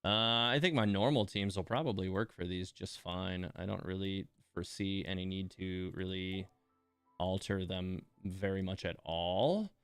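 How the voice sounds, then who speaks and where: A man streaming a video game, thinking aloud in a relaxed, informal way as he gives casual live commentary. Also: low-pitched, measured pace, smooth, no echo.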